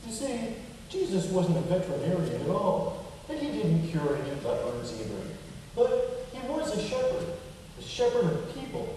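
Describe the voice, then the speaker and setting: A man playing a kindly, patient male puppet in a children's church show, explaining things gently and earnestly. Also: sits high, huge pitch swings, moderate pace. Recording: echoey hall from far off, faint noise.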